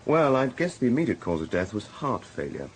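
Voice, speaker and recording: A man's voice: medium pitch, sing-song, fairly quick, slight background noise, close to an everyday voice. A man acting a candid hospital doctor in a radio drama, British and conversationally matter-of-fact. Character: brisk, candid male hospital casualty doctor.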